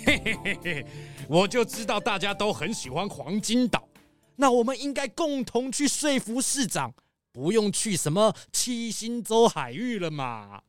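Casting: male actor for boastful, wheedling fortune seeker, very animated high voice, children's audio mystery. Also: unhurried. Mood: sly. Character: sly, boastful, treasure-hungry man coaxing others. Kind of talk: children's audio detective drama acting. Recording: music behind the voice.

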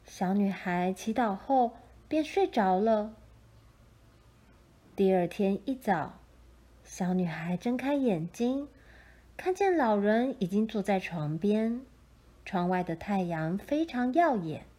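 A woman narrating a children's story from a script in a gentle, expressive storytelling manner. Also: medium pitch, slow pace.

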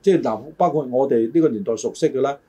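A man's voice: mid-range voice, quick pace, dark tone. An older man, co-hosting a casual chat and reminiscing in a hesitant, roundabout way. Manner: hesitant, roundabout, hedging his recollections. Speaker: talk show co-host.

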